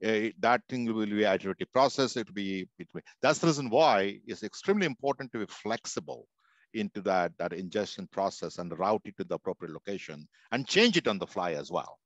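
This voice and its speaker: Deep, fast-talking man on a headset. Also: lively intonation, dry recording. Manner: emphatic, repeats words when starting. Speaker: technology leader panelist. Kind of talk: conference panel talk on video call. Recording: dull, dry headset audio.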